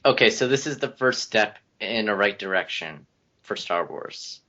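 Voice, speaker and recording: A man presenting news on a casual group podcast, a little haltingly. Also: lowish voice, lively intonation, sharp tone. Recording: dull lo-fi online audio.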